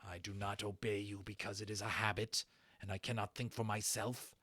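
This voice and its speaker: A man's voice: fairly low pitch, little pitch movement, moderate pace, pushed and bright, husky, dry recording, studio quality. A man voicing a big, loyal, thoughtful male dragon in an audiobook, speaking earnestly. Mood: earnest devotion. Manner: earnest, questioning.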